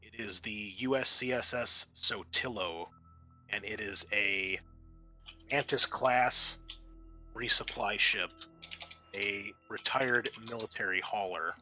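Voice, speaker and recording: A man narrating a tabletop roleplaying game as its game master, halting as he thinks aloud. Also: lowish voice, pushed and bright, soft background music.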